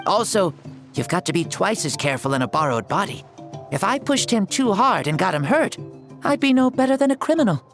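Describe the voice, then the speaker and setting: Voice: mid-range voice, very animated, brisk, a bit bright, soft background music. An actor playing an ancient hero who inhabits a young boy's body in a video game, theatrical, jaunty and self-assured. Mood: earnest.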